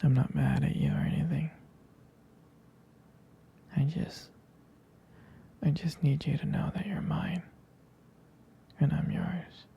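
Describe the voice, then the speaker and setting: A young man acts out a devoted, jealous boyfriend in an intimate ASMR roleplay. His voice is dark and mellow, full of deep crackling fry, and often sinks to a husky whisper. He talks hesitantly and tenderly, close and protective. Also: expressive, slow, long pauses, heavily acted. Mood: possessive, devoted. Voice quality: breathy, husky, gravelly whisper.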